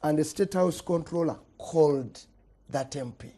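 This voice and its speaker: A man's voice: a little high, unhurried, mellow. A middle-aged man with a Ugandan accent, a politician arguing hard in a panel debate, repeating words and letting his voice fall at the end of phrases. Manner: emphatic, repeats words, voice drops at phrase ends. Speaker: politician guest.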